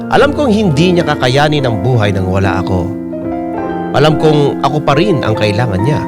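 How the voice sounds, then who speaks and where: A man's voice: medium pitch, natural voice. A man with a Filipino accent playing a flamboyant, clingy, persistent lover in a radio drama, exclamatory and campy. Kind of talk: Tagalog radio drama acting and narration.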